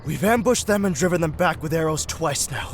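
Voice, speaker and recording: A man's voice: on the high side, lively intonation, brisk, slight background noise, voice pushed up. A man voicing an urgent male ninja scout in a fantasy story, reporting out of breath.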